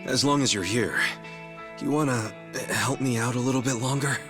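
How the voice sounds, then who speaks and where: A man playing a brash, warm-hearted yakuza hero in a video game dub, earnest, informal and emotional. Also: mid-range voice, a little fast, over music, lightly acted. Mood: sincere, grateful.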